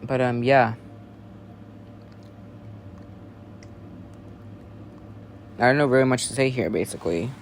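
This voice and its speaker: Man playing a flustered emergency newsreader, swooping pitch. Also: lively intonation, fast, gravelly. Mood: flustered, rambling. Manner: formal announcements lapsing into rambling. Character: flustered amateurish male emergency broadcast newsreader, easily panicked. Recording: noisy amateur home recording.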